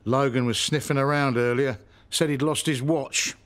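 A man's voice: medium pitch, fairly even intonation, normal speed, fairly bright tone, natural voice. An actor playing a grumpy, suspicious middle-aged British father, a former policeman, in a radio soap. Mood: suspicious, moody. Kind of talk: naturalistic radio soap acting.

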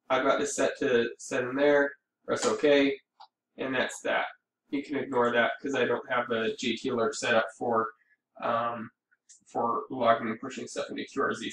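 A man talks through a screen-recorded tutorial in a fairly flat, informal voice, explaining step by step and thinking aloud. He sounds a little way from the microphone in an echoey room, with faint noise behind him. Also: mid-range voice. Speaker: amateur radio operator giving a tutorial. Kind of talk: casual screen-recorded software walkthrough. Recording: roomy, distant mic with background noise.

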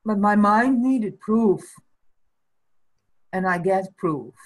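A Dutch woman in her fifties whose first language is Frisian, talking slowly and thoughtfully in a casual chat over a webcam from a small room. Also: mid-range voice, lively intonation, poor audio. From Netherlands. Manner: slow and thoughtful, breaks into small laughs. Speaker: guest. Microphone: video call webcam.

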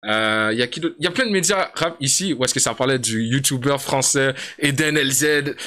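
Bright-voiced Montreal man hosting a stream. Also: mid-range voice, moderate pace. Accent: Montreal French. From Montreal, Canada. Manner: slangy, emphatic, calls the guest "mon gars". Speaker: streamer host interviewing a guest. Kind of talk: casual livestream interview. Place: small dark room indoors, seated.

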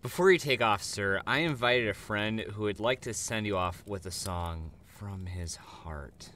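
A man's voice: lowish voice, unhurried, fairly bright tone, voice pushed down. A man voicing an earnest, polite, dreamy frontiersman who loves trees, in an audio drama.

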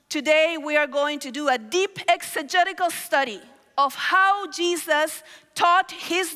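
Lively woman preaching in a hall. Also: high-pitched. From Argentina. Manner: animated storytelling with gestures, calls on the crowd. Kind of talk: sermon. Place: large hall stage with audience. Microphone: headset mic. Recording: reverberant large hall.

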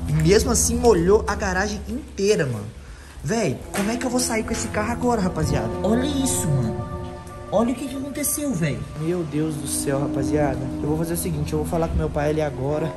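A man's voice: fairly high pitch, fast, loud music behind the voice. A young Brazilian man vlogging in a car and parking garage, talking animatedly to his viewers on a handheld camera. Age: young adult. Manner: animated, exclaims a lot, addresses viewers as rapaziada. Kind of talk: excited vlog narration to viewers.

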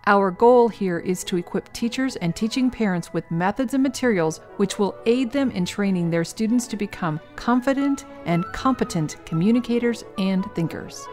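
A woman's voice: mid-range voice, expressive, moderate pace, hardly any pauses, darkish tone, faint music behind. A woman hosting a podcast in casual chat and reading out listener questions. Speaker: podcast host.

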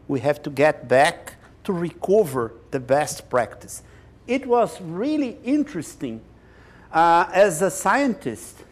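A man in his sixties from Brazil with a Brazilian Portuguese accent, speaking on a conference panel in a slow, deliberate, emphatic way with pauses, on a lapel mic. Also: fairly high pitch. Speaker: panelist, chief scientist. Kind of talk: panel discussion. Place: conference stage.

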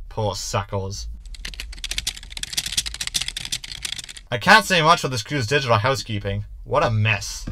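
A man's voice: mid-range voice, noisy background, close to an everyday voice. A man playing a cocky, sarcastic shapeshifting ship's hologram in a science fiction comedy audio drama, with wordy delivery. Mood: musing, smug.

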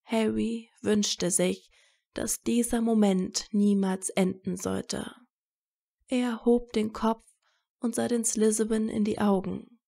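A woman reading scripted romantic fiction as a narrator in soft, measured storytelling. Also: medium pitch, gravelly.

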